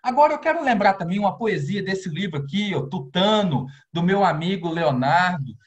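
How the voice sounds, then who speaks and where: A man from Minas Gerais with a Brazilian accent, reading his prose and poetry aloud with expressive rises on key lines, over a video call. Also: normal speed. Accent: Brazilian Portuguese. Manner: expressive recitation, rising pitch on key lines. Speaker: poet and novelist.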